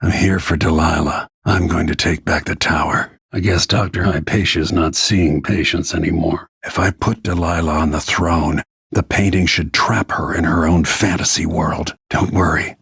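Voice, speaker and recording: A brooding middle-aged assassin from a video game, speaks in a low, heavily rasping voice. He is terse, grim and deliberate, set on revenge. The sound is close and dry. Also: steady tone, a bit bright, dry recording, heavily acted. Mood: calm, instructive. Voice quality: gravelly, husky.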